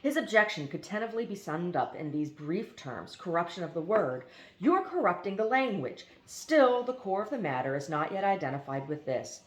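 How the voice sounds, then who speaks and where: Lower-pitched woman reading in an echoey room. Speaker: reader. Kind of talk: reading a philosophy essay aloud.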